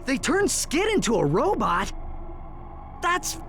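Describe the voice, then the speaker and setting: Youthful, very animated game hero. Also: male voice, extremely high pitch, slow pace, music in the background, exaggerated voice. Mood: surprised, concerned. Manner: casual, expressive.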